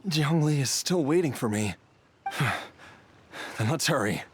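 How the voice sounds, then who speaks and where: An actor playing a frail, troubled young man in a video game, speaking in a hesitant, halting, anguished way. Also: fairly high pitch, animated, a little hiss or hum.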